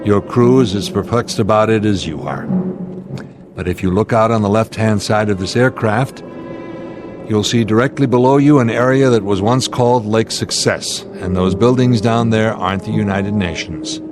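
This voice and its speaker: An actor playing a steady, authoritative airline captain under strain in a radio drama, speaking deliberately. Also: male voice, fairly low pitch, music in the background, lightly acted. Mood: grave, solemn.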